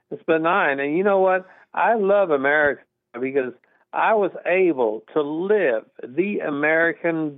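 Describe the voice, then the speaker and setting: A man's voice: a little high, no room sound. A middle-aged man from Texas, calling in to a radio talk show and speaking in an earnest, emphatic, rambling way. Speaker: caller. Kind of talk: call-in radio conversation. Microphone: telephone. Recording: tinny phone line, dry.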